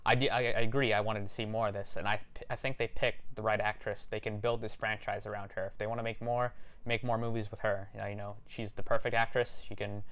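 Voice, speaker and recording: A man with a fairly low, smooth and clear voice co-hosts a movie review. He speaks in a casual, conversational style. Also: little pitch movement, fast, fairly bright tone. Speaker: film review co-host.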